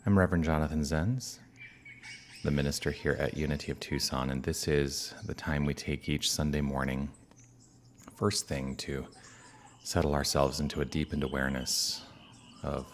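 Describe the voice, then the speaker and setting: A man speaking as a minister leading a guided meditation, calm and unhurried, with long soothing pauses. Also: very deep voice. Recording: birdsong and outdoor ambient noise behind. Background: birdsong.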